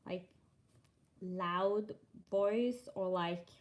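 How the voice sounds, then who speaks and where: A young woman from Norway with a Norwegian accent, chatting casually and softly while hosting a stitching livestream and reading viewer comments aloud. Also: medium pitch, muffled recording. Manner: slow and soft-spoken, reading chat aloud.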